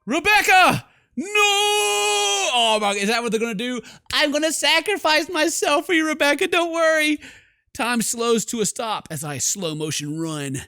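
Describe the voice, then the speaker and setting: A man doing jokey, theatrical let's play commentary, excitable and slangy, reading game text dramatically. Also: extremely high pitch, measured pace, vocal fry, no room sound, studio quality. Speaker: gaming streamer. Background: game music.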